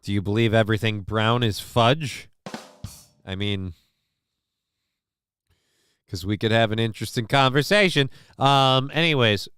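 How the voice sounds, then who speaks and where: A man's voice: lowish voice, unhurried, long pauses, fairly bright tone, smooth, no room sound, clean studio sound. A man from Minnesota hosting a casual live show, rambling and swearing while he answers the chat, with lots of restarts and fillers.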